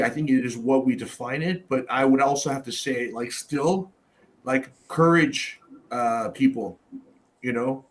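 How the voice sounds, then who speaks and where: A middle-aged man from Canada in a casual roundtable discussion, talking bluntly with plenty of swearing and fillers. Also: mid-range voice. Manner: blunt, profane, storytelling with many fillers.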